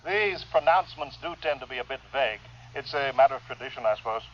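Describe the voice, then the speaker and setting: An actor in a 1950s radio drama playing an ingratiating, pompous munitions executive with a formal, wordy manner. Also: male voice, medium pitch, lively intonation, fast talker, close to an everyday voice. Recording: muffled, hissy vintage radio recording.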